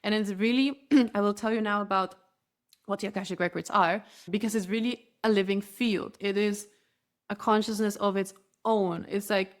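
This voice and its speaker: Brief: female interview guest, Spanish native speaker, mid-pitched voice, earnest and flowing, restarts her phrases. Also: fairly even intonation, moderate pace. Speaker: spiritual guide, interview guest. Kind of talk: podcast interview.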